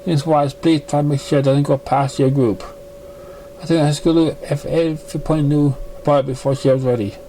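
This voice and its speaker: A man with a slightly high, soft-edged mellow voice reads fiction aloud in a halting, stumbling way. It is an amateur recording with noticeable background noise. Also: steady tone, rough recording. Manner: stumbling, halting reading with misread words. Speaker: amateur fan fiction reader. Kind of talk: reading a story aloud.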